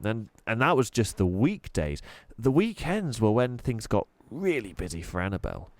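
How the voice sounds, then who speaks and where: A man narrating a children's storybook expressively, with deliberate, dramatic emphasis. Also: fairly low pitch, sing-song, no echo. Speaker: storybook reader.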